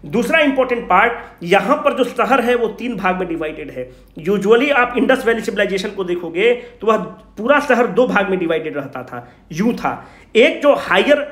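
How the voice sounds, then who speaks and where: A man's voice: sits high, a bit bright. A man teaching an exam revision class informally, asking questions and answering them himself, mixing in English phrases. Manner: informal, teasing, addresses students familiarly; mixes English phrases into speech. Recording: echoey room.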